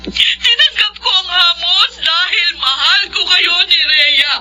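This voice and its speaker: High-voiced, anguished Filipino elder sister. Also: female voice, takes it slowly, poor audio. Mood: tearful resolve. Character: self-sacrificing eldest sister working abroad, pleading and reproachful. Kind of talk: Filipino radio drama acting. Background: dramatic music.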